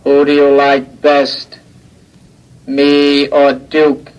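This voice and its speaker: An obedient robot henchman for a radio play. The delivery is utterly monotone and slow, with a clean, smooth tone. It sounds childlike and naively literal. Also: mid-range voice, low-quality recording. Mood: naively curious. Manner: flat, measured monotone delivery. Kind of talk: old-time radio drama acting.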